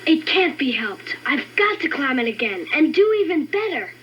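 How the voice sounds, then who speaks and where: A woman playing a bright eager young boy. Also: high-pitched, very animated, normal speed. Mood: excited. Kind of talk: storybook record acting. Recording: dull lo-fi record with loud music.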